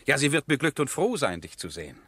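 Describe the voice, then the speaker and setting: An actor playing a passionate, headstrong nobleman in love, husky and theatrical, in a 1970s German radio drama. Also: mid-range voice, big pitch swings, quick pace. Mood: feverishly hopeful. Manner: impassioned, theatrical, swinging from hushed to ringing.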